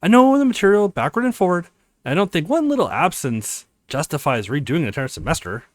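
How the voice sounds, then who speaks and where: A man's voice: fairly high pitch, big pitch swings, fast talker, dry recording, clean studio sound. A man playing an apologetic, earnest young male college student in a visual novel, with a pleading, sincere manner.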